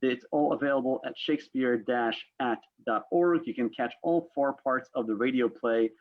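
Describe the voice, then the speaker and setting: A man's voice: medium pitch, normal speed. A man hosting an online panel discussion in semi-formal talk, over a video call. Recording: thin phone-like video call audio.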